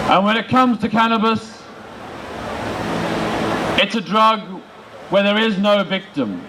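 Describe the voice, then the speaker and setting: High-pitched man giving a slow rally speech. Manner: emphatic, rhetorical, in short deliberate phrases. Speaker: political campaigner speaking at a rally. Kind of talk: outdoor protest speech. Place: large open-air city square rally. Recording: outdoor public address with background noise.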